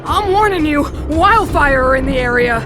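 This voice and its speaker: A woman's voice: high voice, expressive, moderate pace. A woman voicing an earnest, somewhat hesitant young female miner in a video game. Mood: warning. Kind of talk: anime-style video game voice acting. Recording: loud game music underneath. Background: game cutscene music.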